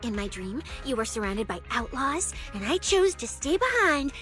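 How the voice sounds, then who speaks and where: A very high, wildly animated young snake woman from a video game. She is a cheeky, greedy security officer who teases playfully and hides a caring streak. Music plays behind her. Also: moderate pace. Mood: playful, eager. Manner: playful, teasing, expressive.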